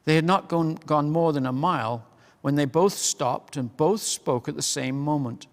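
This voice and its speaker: Gravelly man reading a storybook. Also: on the high side. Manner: measured storytelling. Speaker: reader. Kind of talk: reading a children's novel aloud. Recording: long hall reverb.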